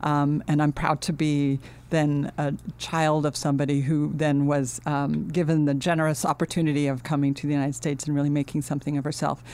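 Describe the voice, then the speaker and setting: A middle-aged woman from Finland, a guest in an interview, speaking earnestly and thoughtfully with many pauses and restarts. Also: sits low, flat delivery, a little mellow, clean tone. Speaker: guest, architecture dean. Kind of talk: casual interview talk.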